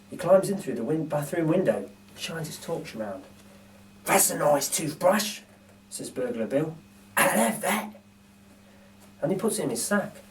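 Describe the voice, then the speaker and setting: A man reading a children's storybook aloud, with deliberate, dramatic phrasing. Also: on the high side, a little mellow, slight background noise. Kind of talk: expressive children's story narration. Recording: distant microphone.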